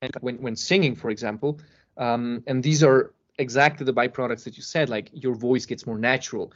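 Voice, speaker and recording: A man's voice: medium pitch, normal speed. Man explaining casually, dull lo-fi sound. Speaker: podcast guest. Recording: dull lo-fi podcast audio.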